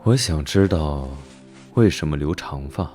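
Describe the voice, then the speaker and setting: A haughty young duke with an extremely deep voice. He speaks with smug, languid mockery and a possessive edge, in animated drama style, with music clearly audible behind him. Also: a little slow. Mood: teasing.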